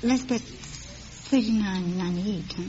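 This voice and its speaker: A woman's voice: mid-range voice, takes it slowly, warm tone. A woman talking casually with the host as a contestant, on an old radio quiz recording. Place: radio studio before an audience. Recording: dull old radio recording with faint noise.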